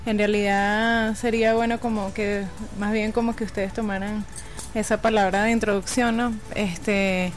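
A woman's voice: mid-range voice, flat delivery, moderate pace, soft background music. A woman, a guest on a radio interview, speaking hesitantly with many fillers. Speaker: guest from a TV producer.

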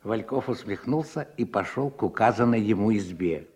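Expressive male radio-drama narrator. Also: on the low side, normal speed, husky.

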